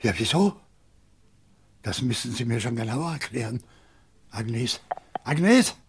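An actor playing an indignant, cold-blooded professor under suspicion in a German crime radio play, speaking in a clipped, precise, defensive way. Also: male voice, mid-range voice, animated, takes it slowly, slight background noise. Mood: insistent.

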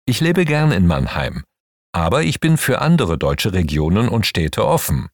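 Romanian-born man, lively measured reading. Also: low voice, no room sound, studio quality. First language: Hungarian. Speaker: language teacher. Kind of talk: first-person account read for a learning audio.

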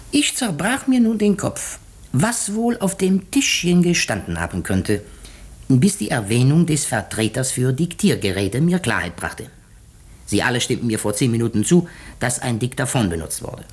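An actor playing a shrewd, methodical detective in a 1950s radio play, explaining deliberately with dramatic pauses. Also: male voice, mid-range voice, breathy edge. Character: shrewd, methodical male detective unmasking a murderer.

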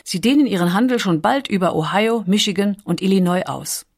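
A woman's voice: medium pitch, lively intonation, brisk. A woman reads scripted documentary narration as an audiobook narrator.

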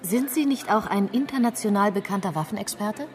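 A woman's voice: medium pitch, brisk, darkish tone, natural voice. An actress plays a provocative woman journalist in a radio drama. Her mid-range voice presses with pointed questions, over faint music. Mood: probing. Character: small, probing, provocative female journalist. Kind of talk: radio crime drama acting.

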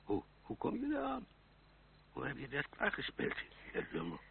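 A gravelly, bewildered old uncle. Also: male voice, mid-range voice, huge pitch swings, normal speed. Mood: puzzled. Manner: stammering, hesitant, sighing. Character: bewildered, sighing old uncle, ruined gentleman fleeing revolution. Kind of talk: radio drama acting. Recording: noisy, humming, tinny old radio recording.

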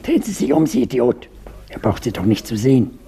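An actor in a German radio play playing a stern, sarcastic police inspector who is curt and scolding. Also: male voice, mid-range voice, wildly swooping pitch, a little fast, gravelly. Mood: irritated. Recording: roomy, noisy old radio recording.

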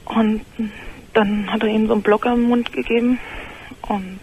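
A woman in her early thirties calling a radio show, giving a serious personal account in a subdued, halting way. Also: fairly high pitch, steady tone, a little mellow. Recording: telephone call with faint noise.

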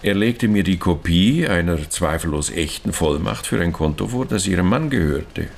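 An actor playing a formal, fussy and discreet Swiss banker in a radio crime drama, talking in careful, hesitant, roundabout sentences. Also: male voice, low voice, lively intonation, creaky, exaggerated voice. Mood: measured, explanatory. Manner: formal, circumlocutory, hesitant with pauses.

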